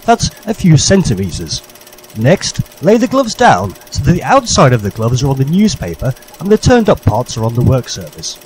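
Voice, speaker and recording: A man delivers instructions like a vintage public information film. His pitch moves in an animated way, and his manner is formal and jolly in an old-fashioned style. A light mechanical rattle runs behind his voice. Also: slight background noise. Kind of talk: parody vintage public information newsreel narration.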